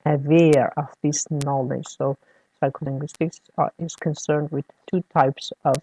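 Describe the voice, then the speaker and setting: Slow, gravelly lecturer. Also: medium pitch, flat delivery, mellow, rough recording. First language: Persian. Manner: hesitant, many fillers, keeps checking "all right?" with students. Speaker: university lecturer. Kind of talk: classroom lecture.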